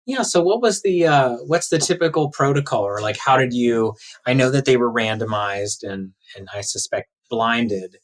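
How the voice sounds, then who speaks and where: A man's voice: medium pitch, animated, normal speed, hardly any pauses. A man hosting a podcast interview, informal and conversational, stringing several questions together.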